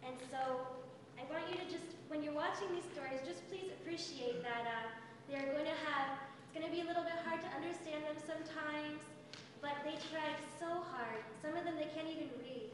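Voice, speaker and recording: A woman's voice: high voice, moderate pace, noisy background. A woman teacher presenting to an audience, speaking directly and engagingly to the room. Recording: echoey hall, far from mic.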